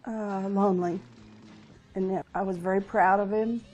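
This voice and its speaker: Mellow older American woman, reflective and halting. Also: medium pitch, fairly even intonation. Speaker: interviewee, veteran's wife. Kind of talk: personal recollection in an interview. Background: music under the voice.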